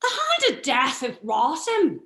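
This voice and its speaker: A woman's voice: sits high, wildly swooping pitch, unhurried, a bit bright, heavily acted. A woman playing an alert soldier on night watch in a Shakespearean radio play, recorded with room echo. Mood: urgent. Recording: roomy, echoey sound.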